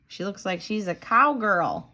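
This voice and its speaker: Animated, slow-paced woman reading to children. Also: mid-range voice. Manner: animated, with dramatic gasps and simple clear phrasing. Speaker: tutor reading a children's book aloud. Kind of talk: expressive children's read-aloud with questions to the listener.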